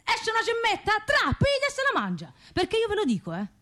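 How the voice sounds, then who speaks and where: A woman's voice: extremely high pitch, huge pitch swings, quick pace, bright, forceful tone, heavily acted. An actress playing a brusque, emphatic provincial woman with a colloquial Tuscan accent in comic radio theatre. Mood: indignant, emphatic.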